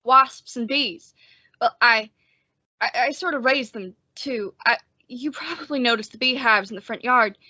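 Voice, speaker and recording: Woman playing a high-voiced, timid young male sheriff. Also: measured pace, bright, forceful tone, no room sound, dull audio. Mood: shy, earnest. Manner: hesitant, stammering, anxious. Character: timid, bookish young male sheriff, nervous insect collector. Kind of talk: old-time western radio drama acting.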